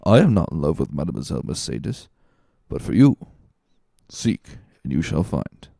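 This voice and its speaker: A man plays a scheming, scornful plotter in a classic storybook drama. The voice is mellow with a creaky edge. He talks with sly, measured condescension. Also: extremely low pitch, very animated, no echo, studio quality, voice pushed down, heavily acted. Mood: sly, goading. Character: cunning, scornful, smooth-talking male schemer.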